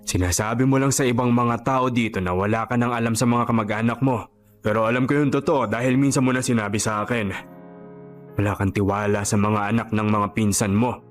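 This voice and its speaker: An actor playing a frank, concerned vendor friend in Filipino radio drama style, blunt, earnest and admonishing. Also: male voice, medium pitch, steady tone, fairly quick, soft background music, close to an everyday voice. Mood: blunt, insistent.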